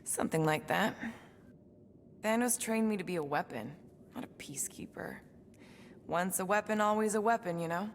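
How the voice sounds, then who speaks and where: An actress playing a guarded, weary alien former assassin in a cinematic video game, speaking in a halting, reflective way. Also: female voice, medium pitch, a little hiss or hum, natural voice. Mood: wistful, guarded.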